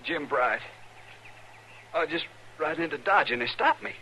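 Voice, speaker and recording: A distressed young American cowboy, high-pitched and swooping with pain and anger. It sounds like a vintage radio western with faint noise. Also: male voice, animated, normal speed, pushed and bright. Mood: angry, aggrieved. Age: young adult. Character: young cowboy, beaten, aggrieved and vengeful. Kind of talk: old-time radio western acting. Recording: noisy vintage radio recording.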